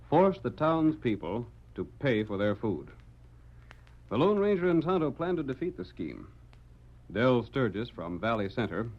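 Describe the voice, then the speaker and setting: Animated old-time radio announcer, man. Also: mid-range voice. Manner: dramatic, formal diction. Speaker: announcer, narrator. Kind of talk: 1940s radio scripted narration. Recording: muffled vintage radio recording.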